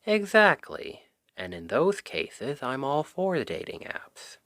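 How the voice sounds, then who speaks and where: A man's voice: medium pitch, wildly swooping pitch, slow pace, a bit bright, no room sound, studio quality, exaggerated voice. A man playing an exasperated, secretly smitten young Cupid best friend in a conversational, emphatic ASMR roleplay. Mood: wry, matter-of-fact. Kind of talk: ASMR roleplay audio drama, one-sided conversation.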